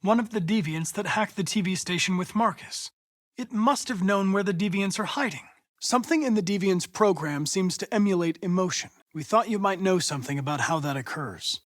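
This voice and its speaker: An actor playing a polite, earnest young male android police investigator in a video game, speaking in precise, formal, measured diction. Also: high voice, a bit bright, no room sound, clean studio sound. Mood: formal, serious. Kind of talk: scripted video game dialogue.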